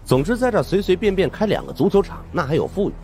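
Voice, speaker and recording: A man's voice: sits high, big pitch swings, fast talker, warm tone, slight background noise. A man narrates suspense fiction as a dramatized first-person audiobook storyteller.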